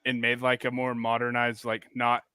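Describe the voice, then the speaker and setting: A man in his twenties co-hosting a casual sports chat, animated and laughing easily, with slangy talk. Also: mid-range voice, moderate pace, smooth, no echo, studio quality. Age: 20s. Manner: animated, laughs easily, says "brother" and "dude". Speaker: co-host of a sports show.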